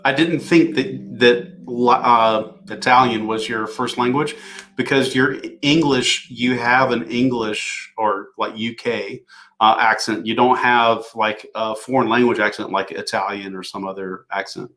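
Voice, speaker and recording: A man hosting a casual livestream Q&A, talking things through in a relaxed way with plenty of fillers. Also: medium pitch, unhurried. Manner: relaxed, thinking aloud with fillers. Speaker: host.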